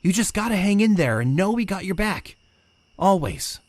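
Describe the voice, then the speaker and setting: A man's voice: high voice, big pitch swings, moderate pace, dry recording. A man playing a brainy, anxious young mutant turtle in an audio comic drama, casual and thoughtful.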